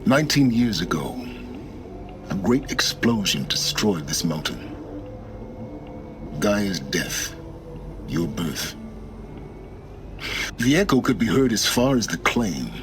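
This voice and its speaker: An actor playing a solemn, calculating man who guides the heroine, speaking in a measured, ominous way. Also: fairly low pitch, big pitch swings, music in the background. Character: mysterious older man guiding the heroine, grave and calculating. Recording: game audio with loud ambience.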